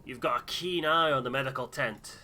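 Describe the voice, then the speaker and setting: A man acts out a sharp-tongued member of a game's expedition crew. He is blunt and taunting, with a bright, hard-edged voice. The delivery is brash and teasing. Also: mid-range voice, fairly quick. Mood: teasing, mocking.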